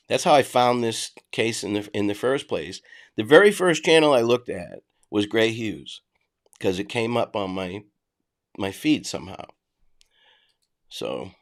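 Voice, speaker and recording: Middle-aged boston guy on a livestream, low-ish voice, blunt and chatty, lots of restarts. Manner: blunt, conversational, frequent restarts. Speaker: livestream host reading chat. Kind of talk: casual livestream chat.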